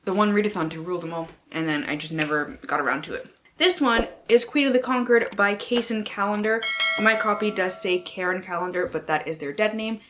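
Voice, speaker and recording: A woman's voice: medium pitch, brisk, background noise. A woman book vlogger chatting casually and reading blurbs aloud in a playful, theatrical way, with slang and free swearing.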